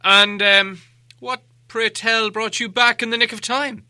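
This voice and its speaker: A man voicing a proud dwarf leader in a storybook, formal and pompous, with a deliberate, pointed way of asking. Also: extremely high pitch, steady tone, pushed and bright, smooth, dry recording, clean studio sound, voice pushed up, full character voice. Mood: pointed, curious. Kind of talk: acted audiobook dialogue.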